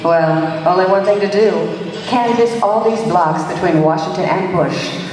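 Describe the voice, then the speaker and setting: Woman acting as female private eye, brisk, slangy, wry, old radio drama, long reverb, far from the mic. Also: on the low side, darkish tone, a little hiss or hum, lightly acted. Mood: wry narrating. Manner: hard-boiled, wry, slangy. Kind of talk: 1940s radio detective play acting. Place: live stage performance in a large room. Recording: echoey hall, recorded from far off.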